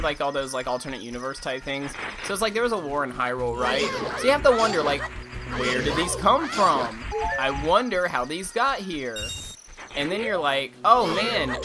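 Rambling young man with a slight lisp. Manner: rambling, swearing, bursts into silly high put-on voices. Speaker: let's play commentator. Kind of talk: casual gameplay commentary. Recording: game music loud behind voice.

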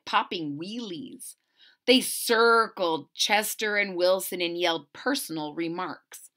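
A woman reading a picture book aloud expressively, pausing to explain and ask young listeners simple questions. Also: mid-range voice. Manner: animated, explanatory, addressing children with questions. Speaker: reader of a children's picture book.

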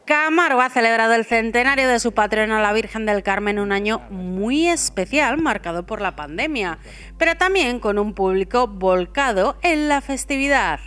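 Woman reading local news, higher voice. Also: normal speed. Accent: Spanish from Spain. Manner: steady read-aloud delivery. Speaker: news presenter. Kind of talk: scripted news narration.

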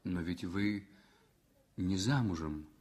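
An actor playing a gentle, lonely hospital patient in a 1960s radio drama, courteous and tentative with the woman he admires. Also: low voice, takes it slowly. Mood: concerned, tender. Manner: courteous, tentative, soft-hearted. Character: gentle, lonely male patient, smitten journalist.

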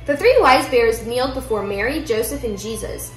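A young woman reads a children's picture book aloud with expressive storytelling in a living room. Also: fairly high pitch, normal speed. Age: young adult. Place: indoor living room at home. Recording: roomy echo, music behind.

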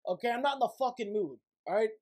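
A man's voice: very high-pitched, animated, fast, darkish tone. A young man in his twenties chats casually as he hosts a livestream. His delivery is blunt and irritable, peppered with swearing. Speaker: livestream host. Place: small dim gaming room at home.

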